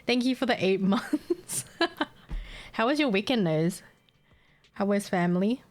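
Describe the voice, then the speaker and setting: A woman's voice: medium pitch, lively intonation, unhurried, darkish tone. Tired giggly woman streamer. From Australia. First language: Vietnamese. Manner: tired, scatterbrained, giggly, reads chat aloud. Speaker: game streamer. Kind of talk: casual live-stream chat while gaming.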